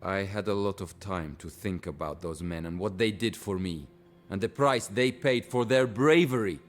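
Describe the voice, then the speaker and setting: An actor playing a haunted, war-weary Soviet officer who talks in a troubled, bitter way. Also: male voice, low-pitched, normal speed, close to an everyday voice.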